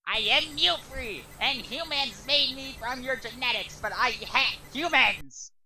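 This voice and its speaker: Girl putting on an evil clone villain, high n boastful, sneery, noisy fan in the recording. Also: unhurried. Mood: hateful. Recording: loud computer fan noise, clicks.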